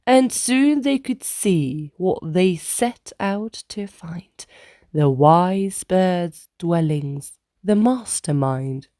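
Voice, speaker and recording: A woman reads a rhyming children's story aloud. Her voice is soft-toned and a little low, and she takes her time over each line. The sound is dry, with no room around it. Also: expressive, drawn-out words, no echo. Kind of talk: children's read-aloud, rhyming scripted narration.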